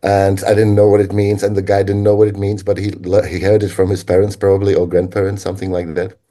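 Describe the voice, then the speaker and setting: A man from the Czech Republic with a Czech accent, speaking English as a guest in an interview, reflective and halting with frequent pauses. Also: low-pitched, completely flat delivery, mellow. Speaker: guest linguist. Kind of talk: conversational interview answers.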